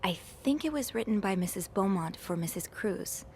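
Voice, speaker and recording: An actress playing an earnest, curious young hotel maid in a mystery video game, speaking thoughtfully and politely in casual conversation. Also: female voice, mid-range voice, expressive, moderate pace, darkish tone, a little hiss or hum, close to an everyday voice.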